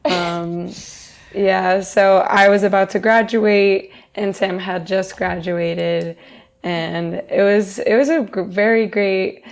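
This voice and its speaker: A young woman from Portugal, an actress whose first language is Portuguese, chatting in an interview in a soft voice with little laughs. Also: medium pitch, steady tone, slow pace. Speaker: guest actress. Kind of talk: casual interview chat.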